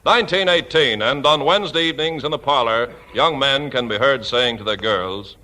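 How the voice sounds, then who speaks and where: A man's voice: medium pitch, moderate pace, pushed and bright, lightly acted, poor audio. A man playing a portentous 1940s newsreel announcer on a radio show, declaiming formally. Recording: vintage 1940s radio broadcast.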